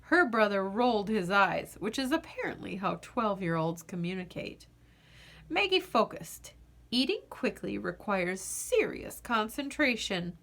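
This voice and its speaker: A woman reading a children's picture book aloud as an expressive narrator, with deliberate phrasing and dramatic pauses. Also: mid-range voice, gravelly. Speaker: children's storybook reader.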